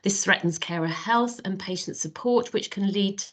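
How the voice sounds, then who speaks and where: A woman speaks with a stuffy, nasal sound from a cold. She presents as a professor over a video call. Her delivery is measured and explanatory. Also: mid-range voice, a bit bright. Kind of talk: online webinar presentation.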